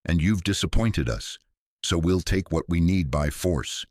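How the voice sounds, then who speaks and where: A man plays a chorus of starved undead patients who speak as one. Their talk is sinister and coaxing, pitched up from a deep base voice. The recording is dry and close. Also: a little slow, darkish tone, no room sound. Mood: menacing. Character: hungry undead asylum patients, whispering as one.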